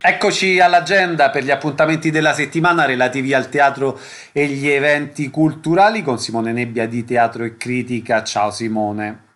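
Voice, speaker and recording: A man's voice: mid-range voice, fairly even intonation, normal speed, fairly bright tone. A man presenting on the radio as host, introducing and closing segments. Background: music.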